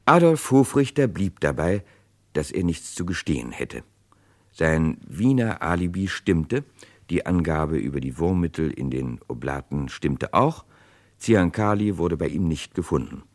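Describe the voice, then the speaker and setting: Very deep-voiced male narrator. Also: big pitch swings, a little mellow. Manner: measured, sober. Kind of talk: scripted radio crime drama narration.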